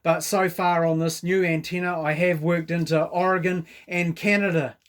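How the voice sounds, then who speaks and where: A man's voice: a little high, level tone, normal speed. A man with a New Zealand accent chatting casually as a radio operator, informal and full of radio jargon.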